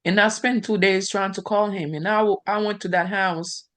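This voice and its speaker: A woman whose first language is Creole, giving a soft-spoken, emotional testimony on a call. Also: medium pitch, steady tone, moderate pace. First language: Haitian Creole. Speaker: counselor exam passer. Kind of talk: personal testimony on a video call.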